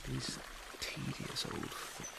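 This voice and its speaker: An actor playing a young prince feigning madness, sardonic, playful and bitter in a theatrical Shakespearean radio drama style. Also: male voice, slow, bright, forceful tone, a little hiss or hum. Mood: bitter, weary.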